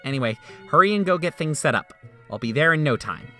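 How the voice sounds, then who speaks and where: A man's voice: a little high, lively intonation, quick pace, faint music behind. A man doing a goofy, breezy male scientist. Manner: loud, breezy and offhand. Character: goofy, laid-back male scientist, evasive. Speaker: voice actor in a fan game dub.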